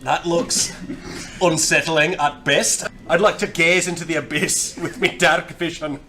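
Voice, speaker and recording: A man's voice: on the high side, steady tone, unhurried, pushed and bright, slight background noise. A man voicing a playful, salty seafaring adventurer with quaint sailor phrasing in a tabletop game. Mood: curious. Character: jaunty salty seafaring adventurer with dark vision.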